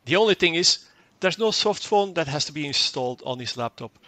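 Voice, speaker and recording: A man's voice: on the high side, expressive, fairly quick, bright, forceful tone, no echo. A man whose first language is Dutch, explaining a product in an interview and often repeating words as he goes. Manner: fluent but repeats words and restarts, acts out short quoted exchanges. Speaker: tech company guest.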